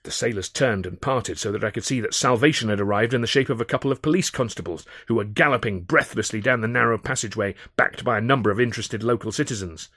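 A man reading a scripted first-person comic adventure, wry and expressive as a storyteller. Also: fairly low pitch, quick pace, hardly any pauses, no echo, studio quality. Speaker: author narrating own audiobook.

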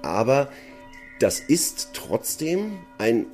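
A man's voice: medium pitch, very animated, extremely slow, a little mellow, soft background music. A middle-aged man, a stage actor, answering interview questions conversationally in an earnest, emphatic way.

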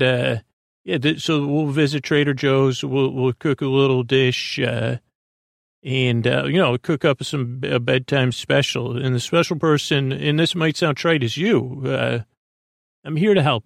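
A man hosting a bedtime podcast in a creaky voice, rambling in a halting, meandering way and often trailing off mid-thought. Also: medium pitch, no echo, studio quality. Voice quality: gravelly, creaky. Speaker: host of a bedtime sleep podcast. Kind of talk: rambling, soothing monologue. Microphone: close podcast microphone, dry.

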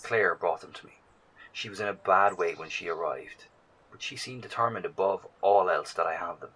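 Man acting an earnest, husky, low-voiced survivor. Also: pushed and bright, full character voice, rough recording. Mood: solemn. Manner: halting, formal wording, emphatic. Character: earnest, shaken male survivor and researcher, awed and cautious. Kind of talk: audio drama dialogue.

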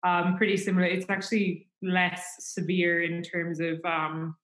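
An Irish woman talks in a loose, rambling way, leaning on fillers as she thinks aloud. Her voice sits slightly low. The room around her rings with echo. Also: completely flat delivery, normal speed, fairly bright tone. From Ireland. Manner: chatty, rambling with many fillers. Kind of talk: casual chat.

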